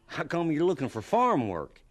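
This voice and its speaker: Gruff farm employer, clipped and doubtful, animated melody, radio-play delivery. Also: male voice, fairly high pitch, normal speed. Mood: suspicious, probing. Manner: blunt, clipped. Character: gruff, skeptical farm boss questioning a job seeker. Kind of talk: audio drama acting.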